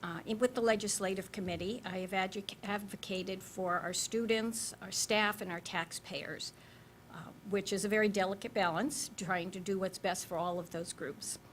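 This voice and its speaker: Measured, formal woman in her fifties, school board incumbent at a forum, faint rumble under. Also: steady tone. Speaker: school board member and candidate. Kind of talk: candidate statement at a public forum.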